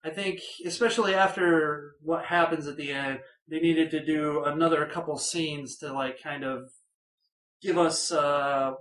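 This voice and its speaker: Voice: mid-range voice, steady tone, unhurried, fairly bright tone, reverberant, poor audio. A horror film reviewer talking casually, rambling, with many fillers and repeated false starts.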